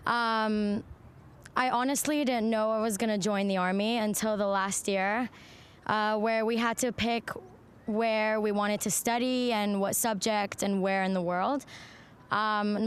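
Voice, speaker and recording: A woman's voice: medium pitch, clear, resonant tone. A young woman from Thailand, a soldier, answering casually in a personal interview. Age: young adult.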